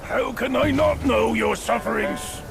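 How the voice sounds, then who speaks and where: A man playing a harried, bitter beast elder in a video game, with a strained, creaky voice and a scolding, exclamatory manner. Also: very high-pitched, a little slow, over loud music, heavily acted. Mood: anguished, resolute.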